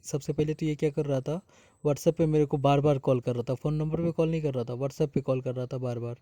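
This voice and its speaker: A man's voice: a little high, fairly even intonation, brisk, dark tone, no echo. An Indian man explaining informally to his viewers, speaking earnestly and repeating his points as a vlogger. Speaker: vlogger exposing a scam.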